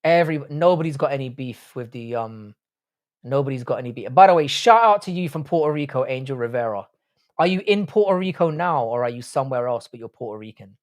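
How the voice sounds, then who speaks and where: A man's voice: medium pitch, lively intonation, fairly quick. A young man runs a casual live stream, reading out chat messages and firing back blunt, crude jokes. His voice sits in the middle of the male range. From Ireland, grew up in the UK. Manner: blunt, jokey, crude banter. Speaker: livestream host reading chat.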